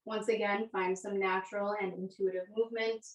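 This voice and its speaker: A woman's voice: medium pitch, level tone, brisk, muffled recording. Echoey, distant woman guiding yoga. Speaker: yoga instructor. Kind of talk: guided yoga and meditation class instruction. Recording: echoey room, distant microphone.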